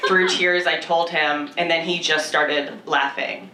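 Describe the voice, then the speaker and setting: A woman's voice: deep voice, steady tone, measured pace, slight background noise. A woman in her thirties from Canada doing a chatty, self-mocking stand-up set, often laughing at her own jokes. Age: 30s. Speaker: stand-up comedian. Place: comedy club stage with a live audience. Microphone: handheld stage mic, filmed from far in the room. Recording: echoey club, camera far off, loud crowd laughter.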